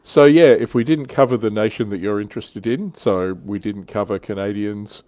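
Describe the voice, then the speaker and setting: A man's voice: on the low side, normal speed, a little mellow, no echo. A man hosting a casual hobby livestream panel, thinking aloud in a hesitant, self-deprecating way. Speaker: panel show host.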